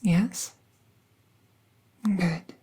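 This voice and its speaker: A woman playing a calm, gentle magical veterinarian in a soft, breathy, soothing ASMR-style roleplay, often half-whispering. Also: fairly low pitch, exaggerated voice. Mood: calm, reassuring. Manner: slow, hushed, soothing, reassuring. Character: gentle, calm female magical veterinarian treating a wounded werewolf.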